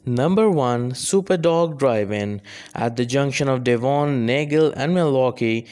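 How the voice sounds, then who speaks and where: A man narrating a scripted restaurant countdown in an even, measured reading with odd phrasing. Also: mid-range voice, smooth.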